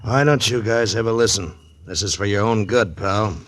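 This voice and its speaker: A man's voice: lowish voice, normal speed, close to an everyday voice. A man playing a big, menacing hired thug in 1940s radio style, tough, curt and slangy. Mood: threatening. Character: big male thug giving menacing warnings.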